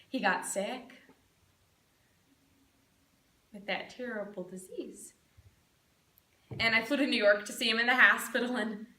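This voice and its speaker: A woman performing a theatrical monologue as an earnest, perky middle-aged small-town crafts lady and proud mother, with dramatic pauses. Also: medium pitch, bright, forceful tone, pitched below the performer's own voice. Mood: tender, grieving. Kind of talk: competitive speech monologue, dramatic interpretation. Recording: echoey room, distant microphone.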